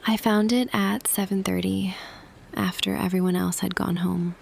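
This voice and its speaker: A woman playing a tender, quietly smitten office boss in an intimate ASMR roleplay, speaking softly and deliberately, often half whispering. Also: mid-range voice, steady tone, vocal fry. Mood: quietly confessing. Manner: slow, hushed, deliberate, pausing. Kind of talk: ASMR roleplay audio, intimate scripted monologue.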